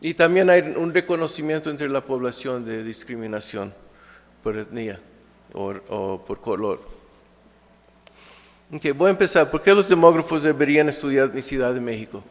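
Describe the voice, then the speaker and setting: A man's voice: medium pitch, lively intonation, normal speed. A man giving an academic conference talk in a language that is not his first, with frequent fillers and restarts. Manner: hesitant, many fillers and restarts; speaking a second language. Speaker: conference speaker. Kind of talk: academic presentation. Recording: reverberant lecture hall.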